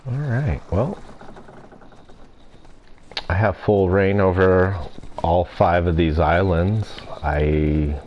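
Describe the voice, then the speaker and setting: Stuffy young guy streaming a game, super low voice, unhurried rambling chat. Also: dull audio, slight background noise. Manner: relaxed, rambling, self-deprecating. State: stuffy nose and throat. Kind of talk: casual gameplay commentary. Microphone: desk mic.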